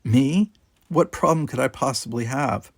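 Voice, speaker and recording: A man's voice: mid-range voice, a little slow, gravelly, breathy edge, no echo, studio quality, voice pushed up. A man as a feisty, blunt young woman cadet. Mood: cold, edgy. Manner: pointed, blunt, colloquial.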